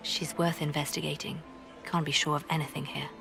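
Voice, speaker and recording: An actress playing a calm, businesslike female spymaster in a video game cutscene. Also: sits low, fairly quick, gravelly, exaggerated voice. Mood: brisk. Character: cool scheming female spymaster. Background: music.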